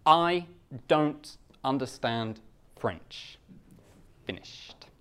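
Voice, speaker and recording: British male guest speaker, unhurried, plain words, self-mocking humor. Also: mid-range voice, expressive, bright, forceful tone, smooth. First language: English. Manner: simple slow English with self-mocking jokes. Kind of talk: live talk to a Japanese audience.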